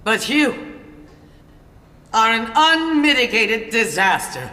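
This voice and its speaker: A haughty middle-aged stepmother in a film drama. She speaks slowly and imperiously, with big swings of pitch and a cutting edge, in a roomy space over faint music. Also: sing-song, pushed and bright, full character voice. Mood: cold, scornful. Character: haughty, money-grabbing stepmother and scornful wife.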